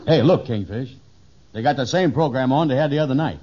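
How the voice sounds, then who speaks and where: An actor playing an easygoing, slow-witted pal in a 1950s radio sitcom, speaking an agreeable folksy dialect. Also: male voice, mid-range voice, a little mellow, lightly acted. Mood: amused. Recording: dull, noisy old radio recording.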